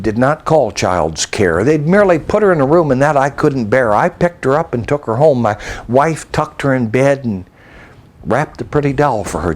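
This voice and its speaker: An older American man recites a rhyming narrative poem in a measured, reflective storytelling manner. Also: medium pitch. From United States. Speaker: storyteller and poet.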